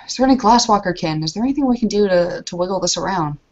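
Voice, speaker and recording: A woman in a tabletop roleplay speaks softly and hesitantly, often pausing on ums. Her pitch sits in a middle range. The recording is muffled and lo-fi. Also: expressive. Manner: soft, hesitant, many ums. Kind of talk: casual roleplay talk.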